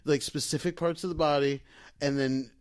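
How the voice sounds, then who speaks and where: Higher-pitched man riffing casually. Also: steady tone, clean tone. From rural farming area. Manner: halting, repeats words as he riffs. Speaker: comedy podcast co-host. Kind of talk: casual comic banter.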